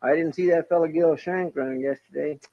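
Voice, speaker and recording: Male actor, folksy frontier sidekick, higher-pitched, deferential, rural American twang, muffled vintage radio recording. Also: steady tone, brisk, no room sound, natural voice. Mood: chatty. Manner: deferential, homespun drawl. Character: amiable, folksy deputy of a frontier marshal. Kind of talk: golden age radio Western acting.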